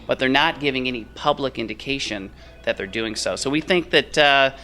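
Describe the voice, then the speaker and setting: A man's voice: medium pitch, fairly quick, bright, forceful tone, faint music behind. A man speaking as an expert interview guest at a conference, explaining findings in his answers. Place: on location at a conference.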